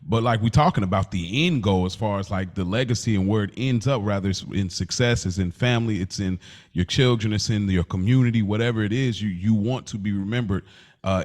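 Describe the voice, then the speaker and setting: Low-voiced man hosting a casual podcast. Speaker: podcast host posing questions.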